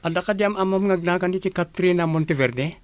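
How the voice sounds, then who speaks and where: A woman's voice: lowish voice, a little fast, natural voice. A woman voicing a polite, earnest young man in an audio drama.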